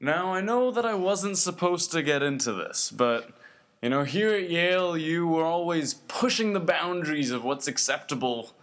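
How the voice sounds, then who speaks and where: A man's voice: on the high side, expressive, normal speed, fairly bright tone. A man playing a chummy, pushy 1920s college radio host with showy, folksy announcer patter. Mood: pressing. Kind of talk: 1920s radio acting, scripted hosting.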